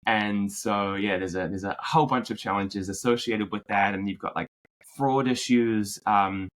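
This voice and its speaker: Podcast guest: New Zealand man in his thirties, deep-ish voice, easygoing storyteller with lots of fillers. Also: moderate pace. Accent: New Zealand, mixed with American. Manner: relaxed storyteller, many fillers and restarts. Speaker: podcast guest, marketing executive. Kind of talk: conversational interview.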